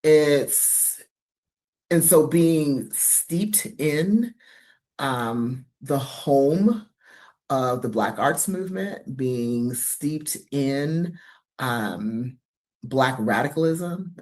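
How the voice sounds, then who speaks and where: A woman from New York City speaking on a university panel, halting and reflective with many fillers. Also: very deep voice, expressive, a little mellow. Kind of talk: panel talk at a university event.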